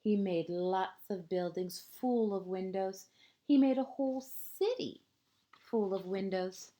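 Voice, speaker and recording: Female kindergarten storyteller, mellow and slow, gentle, expressive read-aloud with hushed phrase endings. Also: mid-range voice. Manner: deliberate pauses, short phrases, asides to young listeners. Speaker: kindergarten teacher reading a picture book.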